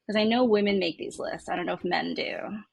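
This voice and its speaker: A woman's voice: mid-range voice, fairly quick, vocal fry, a little mellow, breathy edge, no room sound. A woman in her thirties chatting casually as a guest on a podcast. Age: 30s.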